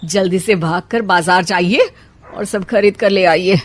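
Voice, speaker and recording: A woman's voice: medium pitch, a little slow, lightly acted. A woman voicing an anxious, overly obliging middle-aged mother-in-law with dramatic exclamations. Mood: fussing.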